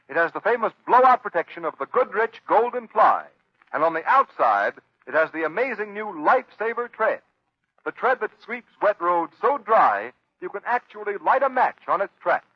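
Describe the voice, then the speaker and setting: A man announcing and reading a sponsor's pitch in emphatic, salesmanlike 1930s radio style. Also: husky. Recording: muffled 1930s radio broadcast recording.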